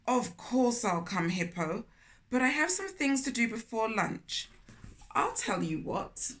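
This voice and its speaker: A woman voicing a greedy male spider from a children's storybook, agreeable and scheming in a measured way. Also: a little high, very animated, a bit bright.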